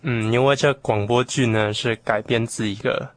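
A man's voice: medium pitch, steady tone, moderate pace, no room sound. A young man, answering casually as an interview guest. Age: young adult. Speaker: guest, radio drama writer.

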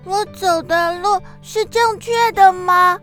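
Children's audio drama: timid little Darkness, very high bright voice, slow, plaintive and childlike. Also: female voice, soft background music, heavily acted. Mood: weary, worried. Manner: childlike, plaintive, wide-eyed, drawn-out exclamations. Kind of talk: children's radio drama acting.

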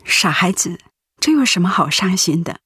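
Husky, gentle ailing mother. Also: on the low side, very animated, moderate pace, no room sound, studio quality, full character voice. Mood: consoling. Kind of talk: Mandarin radio drama acting.

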